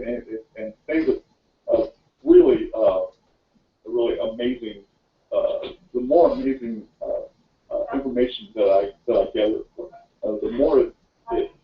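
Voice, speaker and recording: A man's voice: mid-range voice, far from the mic. A man in his fifties chatting on a call-in broadcast, rambling with many repeated words and restarts. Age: 50s. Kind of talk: rambling casual chat. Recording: dull roomy audio from afar.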